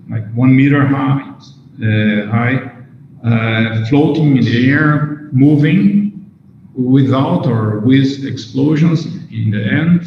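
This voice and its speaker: A Brazilian man, a native Portuguese speaker, lecturing informally as a researcher with a hesitant, stop-and-start delivery. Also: medium pitch. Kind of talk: informal lecture with questions and answers, switching between Portuguese and English. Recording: echoey hall, dull sound, faint noise.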